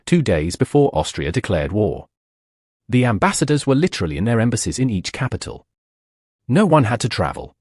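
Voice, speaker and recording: A man's voice: medium pitch, darkish tone, husky, dry recording, clean studio sound. A man giving scripted documentary narration for a history explainer, dramatic and punchy, with plenty of rhetorical questions.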